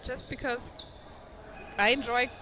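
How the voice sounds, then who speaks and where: A woman's voice: on the high side, fairly even intonation, takes it slowly. A woman whose first language is not English commentates a live dodgeball match in a chatty, thinking-aloud manner, sometimes stumbling over names, in an indoor sports hall. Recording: noisy sports hall ambience. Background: bouncing balls and hall noise.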